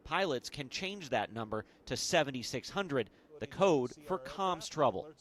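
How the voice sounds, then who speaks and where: A man's voice: mid-range voice, expressive, unhurried, a bit bright. Male TV reporter, American, mid-range voice, scripted broadcast news narration.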